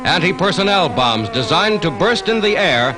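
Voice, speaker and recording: High-pitched, animated 1940s American newsreel man. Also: moderate pace. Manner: declamatory, formal announcer delivery. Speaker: newsreel narrator. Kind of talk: 1940s newsreel narration, scripted. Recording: vintage 1940s newsreel soundtrack. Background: orchestral music.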